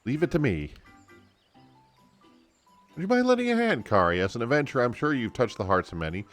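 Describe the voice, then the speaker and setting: A man voicing an eager, sweet young elf woman in a fantasy game, excitable and earnest. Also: medium pitch, a little fast, pitched above the performer's own voice, lightly acted. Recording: game music behind the voice.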